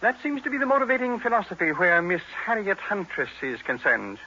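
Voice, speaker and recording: A man's voice: high voice, animated, a little fast, sharp tone, a little hiss or hum, full character voice. An actor in an old radio drama playing a wealthy, haughty man of sixty with a formal, precise manner. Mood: stiff, precise. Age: 60s. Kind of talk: 1940s radio drama acting. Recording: dull vintage radio recording.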